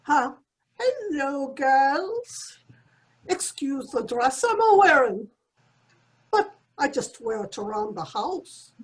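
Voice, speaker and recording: A woman's voice: high-pitched, very animated, slow pace, full character voice. A woman playing a sentimental, easily affronted landlady in an old-time radio comedy, theatrical and folksy. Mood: cheery, doting. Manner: theatrical, folksy scolding and endearments.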